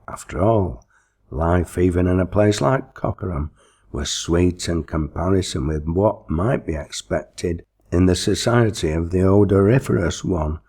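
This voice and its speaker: Male audiobook reader, low-pitched, raspy, deliberate, theatrical storytelling. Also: a little mellow. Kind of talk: expressive scripted storytelling narration.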